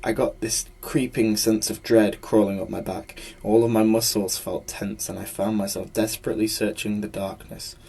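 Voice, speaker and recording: A man's voice: on the low side, level tone, moderate pace, gravelly, hardly any pauses, darkish tone, husky. A man reading a scripted first-person horror story as narrator. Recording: faint background noise, amateur recording.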